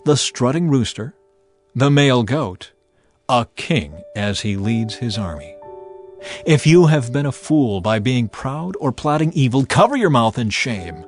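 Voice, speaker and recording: A man giving a dramatized, measured and emphatic scripture narration as an audio Bible reader. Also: medium pitch, big pitch swings. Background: background music.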